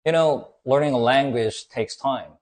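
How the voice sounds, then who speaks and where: A young man whose first language is Korean, giving a clear, scripted online lesson in English with Korean example sentences. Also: medium pitch, a little slow, darkish tone.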